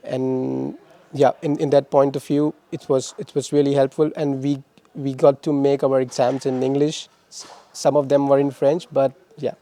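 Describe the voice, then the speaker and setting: Halting young man from Pakistan, flat and mellow. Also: medium pitch. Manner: halting, many restarts and repeated words. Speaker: interviewee, former master's student. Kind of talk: casual interview answers.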